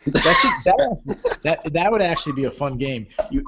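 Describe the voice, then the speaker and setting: A man from the Providence area with a Rhode Island accent, co-hosting a casual sports chat and tripping over his words when he gets excited. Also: on the high side. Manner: excitable, restarts words, quick laughs. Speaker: co-host. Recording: dull webcast audio with background noise.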